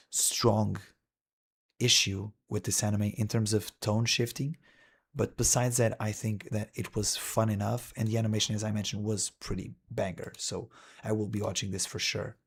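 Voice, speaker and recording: A man's voice: lowish voice, gravelly, a little mellow, slightly rough. A male streamer talking casually and wryly while browsing a list, rambling with frequent "dude" and "man".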